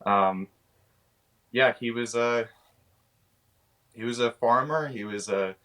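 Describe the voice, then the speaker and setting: A man's voice: medium pitch, slow pace, a bit bright. A man in his twenties with a Canadian accent, giving casual interview answers and often searching for his words. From British Columbia, Canada. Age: 20s. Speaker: actor, interview guest.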